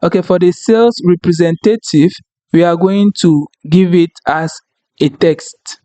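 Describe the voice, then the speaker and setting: A higher-pitched man whose first language is French, explaining a data tool step by step at an unhurried pace. He keeps asking "okay?" and "right?" as he goes, and his voice sounds close and dry, with no room echo. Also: mellow. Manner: explanatory, frequent "okay?" and "right?" check-ins. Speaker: tutorial instructor. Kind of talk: screen-recorded software tutorial.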